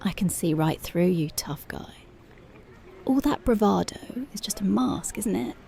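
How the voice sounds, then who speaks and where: A woman playing a strict, dominant female police officer in an ASMR roleplay, slow, taunting and intimate, often slipping into whispers. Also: medium pitch, animated, creaky, mellow, a little hiss or hum. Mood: dominant, gloating.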